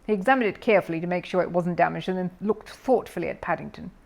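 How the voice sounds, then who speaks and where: A woman's voice: on the low side, fast talker, vocal fry, a little mellow. A woman reading a children's story aloud as scripted narration.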